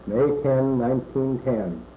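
A man in his sixties from California, recalling his early life in an oral history interview and pausing often with "uh". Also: mid-range voice. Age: 60s. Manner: halting, many "uh" pauses. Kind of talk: slow reminiscing answers. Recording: echoey room, muffled phone-like tape with faint hiss.

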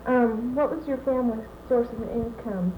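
A woman's voice: on the high side, moderate pace, mellow, a little hiss or hum, low-quality recording. A woman conducting an oral history interview, asking hesitant questions with fillers. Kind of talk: reading intro, asking questions.

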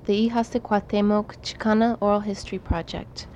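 A woman conducting an oral history interview, asking short, quiet questions and prompts. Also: mid-range voice, level tone, a little fast. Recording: dull lo-fi 1992 tape with faint hiss.

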